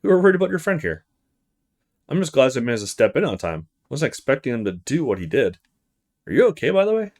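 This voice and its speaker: A man voicing a polite, decent young male student who is new in town, calm and earnest, in a visual novel. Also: medium pitch, fast talker. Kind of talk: visual novel character read-aloud.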